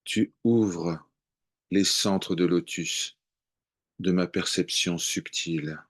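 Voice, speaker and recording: A man reciting devotional poetry in a measured, contemplative way, with long pauses between short phrases. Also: sits low. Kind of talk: slow devotional poem recitation.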